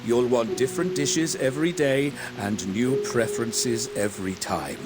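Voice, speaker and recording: An actor playing a devoted, courteous elderly butler in a video game, polite and gentle, with music behind. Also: male voice, mid-range voice, moderate pace, close to an everyday voice.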